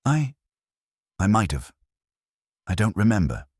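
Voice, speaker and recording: A man voicing a nervous, evasive female office worker in a detective audiobook, hesitant and halting. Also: fairly low pitch, big pitch swings, a little mellow, husky, no room sound, studio quality, voice pushed up, heavily acted. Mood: nervous, defensive.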